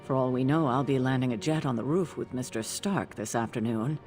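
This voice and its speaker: Game casting: authoritative, stern older female guardian, deliberate and grave, music underneath. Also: extremely low pitch. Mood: dry, businesslike. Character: stern, commanding older woman, immortal guardian of an abbey. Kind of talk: video game cutscene acting.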